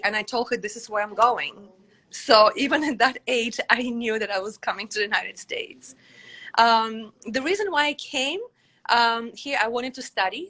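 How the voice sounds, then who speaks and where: A woman's voice: medium pitch, pushed and bright. Bulgarian-born woman telling her story. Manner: reflective, frequent fillers. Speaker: Pilates instructor and guest. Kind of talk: conversational interview answers.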